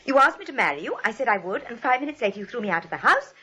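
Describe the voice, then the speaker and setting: An actress playing an insistent young fiancée, emphatic and dramatic, in 1940s radio comedy style. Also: female voice, fairly high pitch, sing-song, rapid-fire, sharp tone, slightly rough, exaggerated voice. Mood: indignant, pleading. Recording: dull old radio recording.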